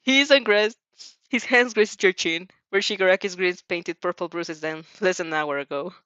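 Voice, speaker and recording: A woman's voice: lowish voice, moderate pace, a bit bright, dry recording, dull audio. A woman narrating fan fiction with casual reaction asides, sometimes stumbling and rereading phrases.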